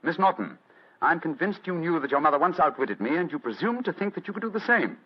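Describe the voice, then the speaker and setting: A theatrical, urbane detective. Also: male voice, on the high side, expressive, quick pace, breathy edge. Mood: stern. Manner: theatrical, precise, courtly. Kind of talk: old-time radio drama acting. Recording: dull vintage radio drama recording.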